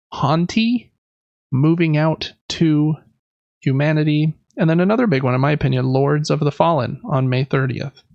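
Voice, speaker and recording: A man's voice: fairly high pitch, gravelly, no echo, muffled recording. A man hosting a casual gaming podcast, reading through news lists in a chatty, informal way with personal asides. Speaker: podcast host.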